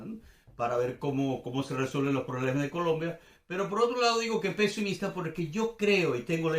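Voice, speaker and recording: A man's voice: on the high side, a bit bright. An older man from Venezuela with a Venezuelan accent, giving informal commentary off the cuff, searching for words and repeating phrases for emphasis. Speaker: commentator and host. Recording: echoey, reverberant room.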